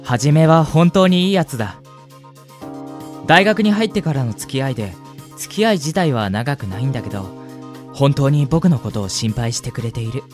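A young man playing a gentle, sentimental university student in a radio drama, with an earnest, polite manner. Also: medium pitch, animated, moderate pace, lightly acted. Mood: wistful. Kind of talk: radio drama acting with narration. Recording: music bed under the voice.

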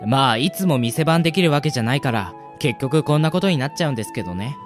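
A man's voice: medium pitch, animated, quick pace, over music, natural voice. A man acting a polite, easygoing young florist's son in a radio drama. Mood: helpful. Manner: polite, easygoing, soft-mannered speech. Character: polite, cheerful young son minding a flower shop.

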